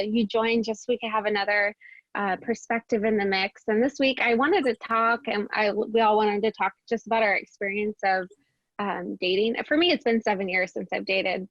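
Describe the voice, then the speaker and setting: A woman's voice: medium pitch, dry recording. A woman co-hosting a casual chat from San Francisco, talking with many fillers and laughing often. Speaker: podcast co-host. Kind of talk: casual video-call chat. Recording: dry, dull lo-fi call audio.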